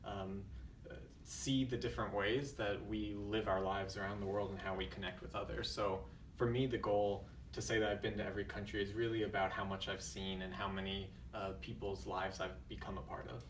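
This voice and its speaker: A man in his twenties from the United States, talking as an interview guest in a thoughtful, measured way with frequent fillers. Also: fairly low pitch, fairly even intonation, echoey room, distant mic, slight background noise. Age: 20s. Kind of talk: casual interview.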